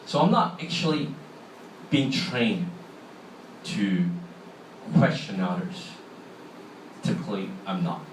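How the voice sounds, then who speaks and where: A man's voice: low-pitched, animated, slow pace, pauses often, slight background noise. A middle-aged man with a Hong Kong accent, giving a chatty public talk and asking the audience questions. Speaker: speaker. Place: large hall. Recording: echoey hall from far off, faint ambience.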